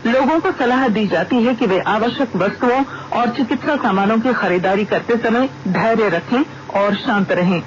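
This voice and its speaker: A man's voice: high voice, normal speed, hardly any pauses. A man with an Indian accent reading a scripted public advisory as a radio report. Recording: dull lo-fi radio audio, clear noise.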